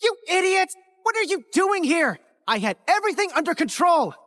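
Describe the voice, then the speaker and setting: An actress playing a fiery, protective older sister, a young star student in an animated game film. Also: female voice, very high voice, moderate pace, full character voice. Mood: exasperated. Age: young adult.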